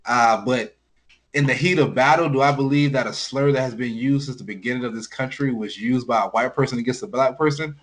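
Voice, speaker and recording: Frank male sports co-host, mid-pitched. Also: brisk, fairly bright tone. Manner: frank, blunt, informal. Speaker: sports talk show co-host. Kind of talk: casual sports analysis and commentary.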